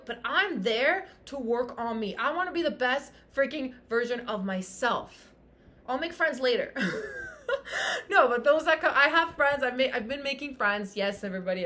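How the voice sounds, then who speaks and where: Woman, French first language, fitness coach, high bright voice, energetic livestream pep talk, repeats lines for emphasis. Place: Tulum, Mexico.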